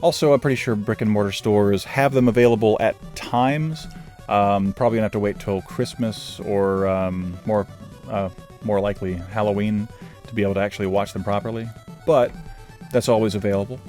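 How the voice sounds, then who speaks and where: Rambling low-voiced man reviewing films. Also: brisk, faint music behind. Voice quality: says his own voice is normally monotone. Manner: rambling, self-deprecating, fillers and restarts. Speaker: movie reviewer. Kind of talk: casual rambling film review.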